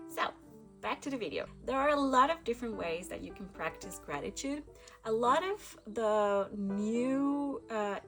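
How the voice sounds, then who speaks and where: A woman from Guatemala, hosting a casual spiritual talk to camera, reflective, with frequent laughs and restarts. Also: medium pitch. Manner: reflective, laughs often, many fillers and restarts. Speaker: spiritual channel host.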